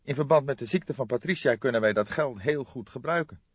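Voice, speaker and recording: A man reading an audiobook, voicing a distraught, hesitant younger man who pleads for help in a halting, emotional, trembling way. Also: medium pitch, slightly rough, dry recording, muffled recording, voice pushed up, lightly acted. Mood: anxious, pleading. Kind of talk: audiobook character voice.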